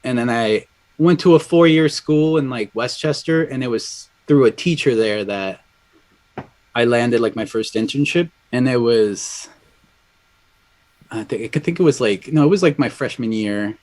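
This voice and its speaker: A man in his thirties from Colombia, a guest in a casual interview, speaking in a relaxed, conversational way with lots of fillers. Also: mid-range voice, measured pace. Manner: relaxed and conversational, frequent "like" and "dude". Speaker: audio engineer guest.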